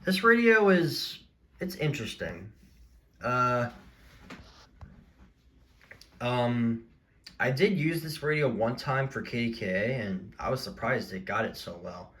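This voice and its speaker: Bright-voiced man, casual and rambling. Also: mid-range voice, very animated, unhurried. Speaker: collector presenting a video. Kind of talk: casual show-and-tell vlog.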